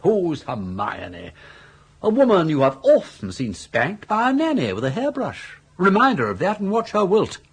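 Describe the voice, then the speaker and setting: A breezy, elderly upper-class English gentleman in a radio comedy. His voice is slightly husky and swoops freely in pitch. He talks with jaunty, teasing wit, as if forever amused by his own advice. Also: wildly swooping pitch, moderate pace, full character voice. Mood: jubilant, encouraging. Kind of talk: BBC radio comedy drama acting.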